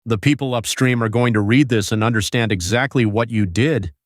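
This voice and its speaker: A man's voice: mid-range voice, brisk, dry recording, studio quality, natural voice. A man playing a stern, wary bureaucratic superior in an audio sci-fi drama, blunt and reproachful.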